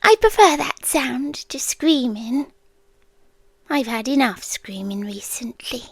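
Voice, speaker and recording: Casting: British actress as ancient female apocalypse being for ASMR roleplay, high soft whispery voice, tender yandere calm, extremely slow delivery. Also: dry recording, exaggerated voice. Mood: calm, matter-of-fact. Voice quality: soft, breathy, often whispered. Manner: slow, deliberate, with long pauses. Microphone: close microphone, dry.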